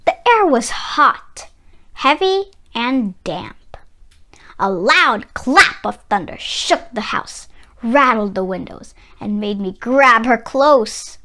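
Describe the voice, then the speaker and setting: A woman reads a children's story aloud in a high, very animated voice. She takes her time, stretching words for drama as she narrates. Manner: dramatic, expressive delivery with drawn-out words. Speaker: children's book reader.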